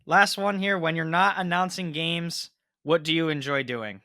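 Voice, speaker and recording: A man's voice: a little high, steady tone, normal speed, bright, forceful tone, dry recording, clean studio sound. An American man hosting a casual interview, asking questions and responding with a fan's enthusiasm. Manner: enthusiastic, fan-like. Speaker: interviewer, host.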